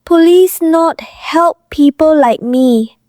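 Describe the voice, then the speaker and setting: An actress playing a frightened, guarded young woman with a thick foreign accent, speaking halting broken English in an audio drama. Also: high voice, a little mellow, dry recording, clean studio sound. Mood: fearful, flat. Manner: halting, broken English in short clipped phrases.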